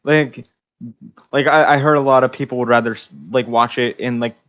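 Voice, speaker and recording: A man co-hosting a podcast in slangy, halting casual talk full of filler words. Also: mid-range voice, fairly even intonation, fairly bright tone, muffled recording, a little hiss or hum. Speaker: podcast co-host.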